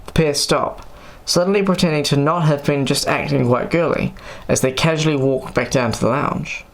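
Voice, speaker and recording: A man's voice: on the high side, normal speed. A man reading scripted audiobook narration as the story's narrator.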